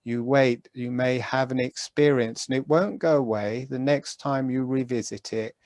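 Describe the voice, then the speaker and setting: A man guiding a breathing meditation, giving calm, gentle instructions with long pauses. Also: mid-range voice, no room sound, clean studio sound. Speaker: meditation guide.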